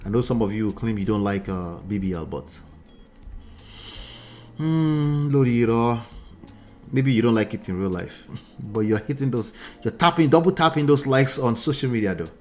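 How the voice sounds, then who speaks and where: A man's voice: mid-range voice, lively intonation, moderate pace, a little mellow, faint music behind. A man in his forties with a Nigerian accent, reading viewer comments aloud and answering them with blunt rhetorical questions. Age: 40s. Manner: emphatic, repeats points, asks pointed rhetorical questions. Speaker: relationship commentary host.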